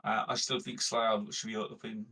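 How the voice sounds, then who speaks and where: A man's voice: on the low side, level tone, a little fast, bright, forceful tone, breathy edge. A man chatting casually as a player at a tabletop game, jokey and sweary, recorded at a distance from the mic.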